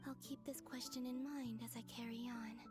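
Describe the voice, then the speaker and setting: A woman playing a gentle, pensive young moon maiden in a video game, with a soft, breathy, musing delivery. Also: on the high side, little pitch movement, fairly quick, over music, close to an everyday voice. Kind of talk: video game voice acting, inner monologue.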